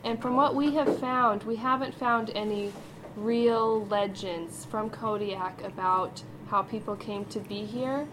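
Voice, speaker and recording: A woman in her twenties from Alaska, a counselor leading interviews, casual and quick to laugh. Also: mid-range voice, fairly even intonation. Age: 20s. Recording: noisy room recording.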